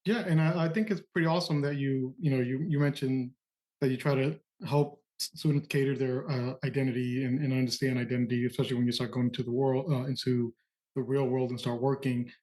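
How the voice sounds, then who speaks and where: A man's voice: medium pitch, fairly even intonation, a little fast, a little mellow, poor audio. A man hosting a podcast interview, asking long questions with a hesitant manner and frequent restarts. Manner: hesitant, restarts often while framing questions. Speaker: podcast host.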